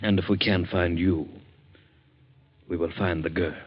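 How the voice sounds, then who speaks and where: A man's voice: sits low, fairly even intonation, dry recording. A man playing the cold, menacing leader of a secret patriotic committee, speaking with formal authority in old radio drama style. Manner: formal, authoritative, measured threats. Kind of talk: 1940s radio acting. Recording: muffled old-time radio recording.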